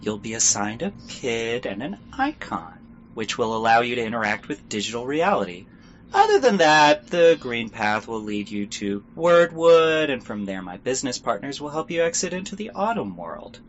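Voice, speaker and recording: A man playing a guarded, businesslike smuggler guide in a fantasy audio drama, brisk and transactional, on muffled audio. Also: medium pitch, very animated, close to an everyday voice. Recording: muffled audio with faint noise.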